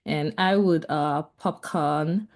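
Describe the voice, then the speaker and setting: A woman with a notably low voice, speaking hesitantly and pausing often as she introduces herself on a panel. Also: fairly even intonation, a little mellow. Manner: hesitant, many fillers.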